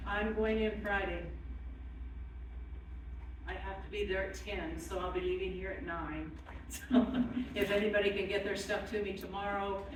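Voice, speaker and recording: A woman's voice: medium pitch, fairly even intonation, moderate pace, long pauses. Give me a chatty woman making an announcement from far back in an echoey hall. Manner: chatty and informal. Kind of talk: casual public announcement. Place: town meeting hall. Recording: echoey hall, far mic, background noise.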